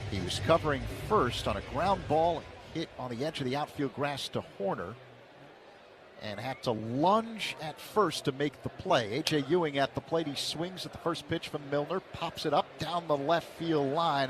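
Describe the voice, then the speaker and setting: A man doing radio play-by-play of a baseball game. His voice sits at a middling pitch, he calls each pitch steadily, and his voice lifts on big plays. There is clear noise behind him. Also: animated, moderate pace. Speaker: play-by-play announcer.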